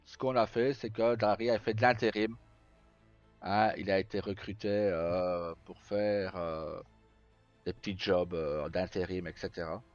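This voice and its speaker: A man live streaming, casually telling roleplay stories in a rambling, halting way with pauses mid-sentence. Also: lowish voice, darkish tone, clear, resonant tone. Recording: slightly muffled, roomy, faint music. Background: background music.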